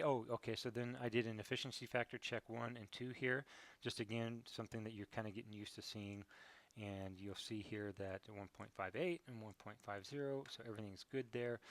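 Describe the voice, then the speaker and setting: Male American coach, informal and encouraging, mid-range voice, thinks out loud while giving feedback. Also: mid-range voice, no echo. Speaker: cycling coach. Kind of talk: casual spoken review of training data.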